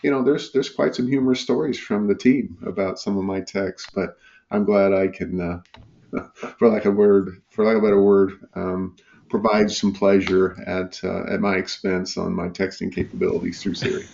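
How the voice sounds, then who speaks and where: Very deep, gravelly-voiced man. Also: fairly even intonation, moderate pace, darkish tone, lo-fi sound. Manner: self-deprecating, good-humored. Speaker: guest, company CEO. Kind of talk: casual podcast chat.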